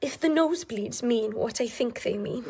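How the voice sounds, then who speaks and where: A woman's voice: on the high side, normal speed, a little mellow, husky. A woman acting in a radio drama as a troubled, lonely young wife, confiding and vulnerable. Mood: confiding, uneasy.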